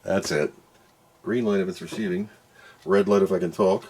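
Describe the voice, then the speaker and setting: A man's voice: sits low, fairly even intonation, normal speed, a little mellow, a little hiss or hum. A man doing casual hands-on product commentary, muttering to himself as he works and reading out screens. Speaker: product reviewer doing an unboxing and test.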